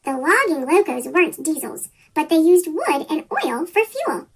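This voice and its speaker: A children's story reader with a pitch-shifted chipmunk voice, reading a picture book aloud from a script. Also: sing-song, moderate pace, fairly bright tone. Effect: sped-up chipmunk pitch shift.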